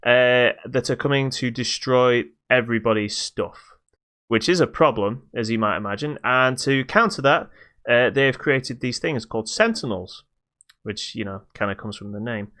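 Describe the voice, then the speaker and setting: A man's voice: mid-range voice, moderate pace, sharp tone. A man co-hosting a podcast, chatting casually about games with playful asides. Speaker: podcast co-host.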